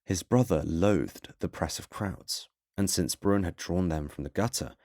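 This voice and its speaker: A man reads a scripted fantasy bedtime story in a relaxing ASMR style, with a measured, solemn, literary delivery. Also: sits low, a little mellow, no echo, studio quality. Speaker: storyteller, narrator.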